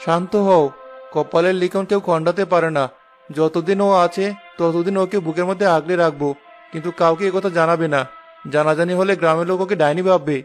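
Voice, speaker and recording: A man's voice: a little high, fairly even intonation, fairly quick, faint music behind, lightly acted. A man voicing a cautious, protective village father in a cartoon fairy tale, speaking earnestly. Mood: wary, resolute.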